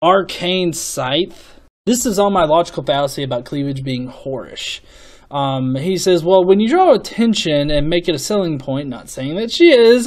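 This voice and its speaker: A man's voice: sits high, a little mellow. A young man hosting a casual commentary on viewer comments, rambling and swearing with many stuttered restarts. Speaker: host.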